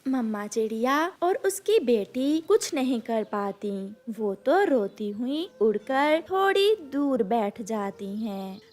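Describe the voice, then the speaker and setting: A woman narrates a children's moral story in a very high voice. Her scripted reading is slow and measured. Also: very animated, a little mellow.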